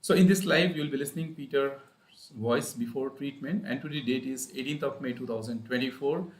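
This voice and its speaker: A man's voice: medium pitch, fairly quick, slight background noise. Indian male speech therapist hosting a live stream, hesitant, echoey sound. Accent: Indian English. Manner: hesitant, many fillers and restarts. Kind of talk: live online session, explaining. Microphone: online call. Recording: roomy echo.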